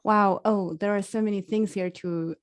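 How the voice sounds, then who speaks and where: A woman's voice: mid-range voice, steady tone, normal speed, clear, resonant tone. A woman hosting a casual interview, asking her guest questions in a friendly way. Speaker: podcast host.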